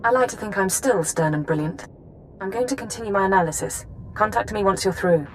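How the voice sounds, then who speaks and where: An actress playing a stern, brilliant female intelligence chief in a video game, briefing with crisp authority. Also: mid-range voice, brisk, slight background noise, close to an everyday voice. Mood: dry. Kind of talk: video game cutscene acting.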